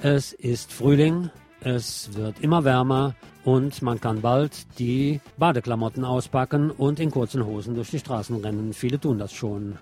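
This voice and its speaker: A man's voice: medium pitch, measured pace, darkish tone. A man presenting scripted radio news with pointed commentary, recorded in a studio with music behind him.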